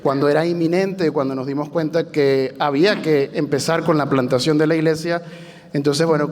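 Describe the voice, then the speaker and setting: A man's voice: fairly high pitch, a little mellow, slight background noise. A Venezuelan man in his thirties with a Venezuelan accent, a church planter telling his story conversationally on a panel. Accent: Venezuelan Spanish. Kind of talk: panel testimony. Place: large hall, panel on stage. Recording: reverberant hall.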